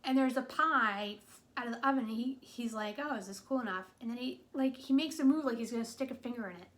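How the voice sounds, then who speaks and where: A woman from New England talks through a TV movie in a casual, wry way. Her voice sits a little high. She pads her talk with "like" and "um.". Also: fast talker, fairly bright tone. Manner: chatty, wry, with frequent "like" and "um". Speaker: movie reviewer. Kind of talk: casual video review.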